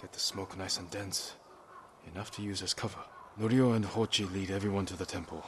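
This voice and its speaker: A man playing a stern, steadfast samurai lord in a video game, speaking in a measured, terse, commanding way. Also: steady tone, background noise, close to an everyday voice. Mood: commanding, focused. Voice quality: low-pitched. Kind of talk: dramatic video game acting.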